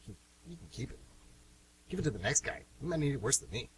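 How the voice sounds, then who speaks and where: A man's voice: mid-range voice, animated, fast, natural voice. A man acting a cocky, flippant young jail inmate in a radio play, slangy and casual, recorded at a distance from the microphone. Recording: distant mic with light hiss and hum.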